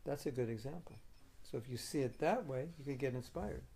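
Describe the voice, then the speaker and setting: A man's voice: mid-range voice, animated, fairly quick, gravelly, mellow. A man leading a question and answer session as a spiritual teacher, arguing his point firmly and patiently. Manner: firm, reasoning, argumentative. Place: meeting room.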